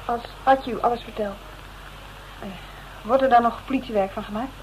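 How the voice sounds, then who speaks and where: A woman's voice: fairly high pitch, steady tone, fairly quick, darkish tone, close to an everyday voice. An actress playing a guarded, protective woman, pointed and terse, in radio drama dialogue. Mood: anxious, insistent. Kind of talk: Dutch radio drama acting. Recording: slightly noisy radio recording.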